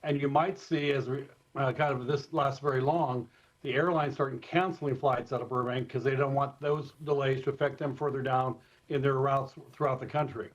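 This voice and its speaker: A man with an American accent explaining things informally and in measured fashion as an expert guest in a live interview, over a remote feed. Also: mid-range voice, steady tone. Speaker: expert guest, former airport communications director. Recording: dull, slightly lo-fi remote feed.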